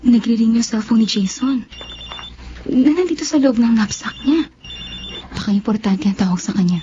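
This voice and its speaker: A woman's voice: fairly high pitch, dark tone, lightly acted. An actress playing a curious, suspicious woman in a radio drama, hesitantly talking to herself. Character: curious, suspicious woman snooping, thinking aloud. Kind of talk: Tagalog radio drama monologue. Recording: dull lo-fi audio, clear noise.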